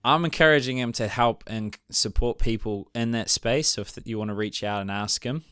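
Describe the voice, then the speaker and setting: A New man hosts a podcast in a loose, rambling, chatty way and laughs easily. His voice is bright and clear, recorded dry and close with no room sound. He sounds at ease. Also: medium pitch, fairly quick, no echo. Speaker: podcast host. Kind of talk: casual podcast chat.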